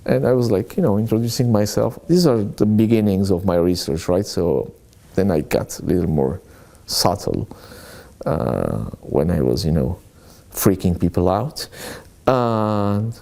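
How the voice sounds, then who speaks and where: A man's voice: lowish voice, animated, measured pace, vocal fry, warm tone. A middle-aged man being interviewed, telling his experiences in a hesitant, reflective way with many fillers and restarts. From born in Aleppo, Syria. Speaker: journalist interviewee.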